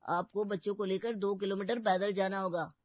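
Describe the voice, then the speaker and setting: A woman voicing a gruff, impatient male school bus driver for a cartoon, blunt and grumbling. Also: mid-range voice, fairly even intonation, normal speed, no room sound, close to an everyday voice. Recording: dull, muffled audio.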